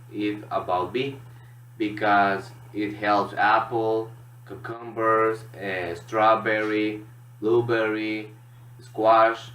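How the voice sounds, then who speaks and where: A man from Honduras with a Honduran Spanish accent gives a student presentation in halting, non-native English. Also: fairly low pitch, fairly bright tone. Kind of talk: classroom presentation. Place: echoey room. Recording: echoey room, distant mic, background noise.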